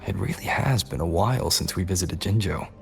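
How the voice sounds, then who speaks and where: Calm game hero: low pitch, gravelly edge, easygoing delivery. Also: male voice, brisk, faint music behind. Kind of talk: video game voice acting.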